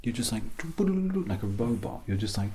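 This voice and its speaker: A British man softly giving an ASMR English lesson as a teacher, breathy and often near a whisper, with an awkward, self-deprecating, gently encouraging manner. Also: on the low side, sing-song, normal speed, slight background noise. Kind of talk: softly spoken ASMR grammar lesson roleplay.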